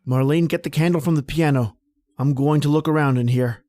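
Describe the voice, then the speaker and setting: A woman's voice: low-pitched, fairly quick, warm tone, dry recording, studio quality, close to an everyday voice. A woman playing an earnest, protective husband in an old-time radio horror drama. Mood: puzzled, intent. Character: protective, soothing young husband in horror tale.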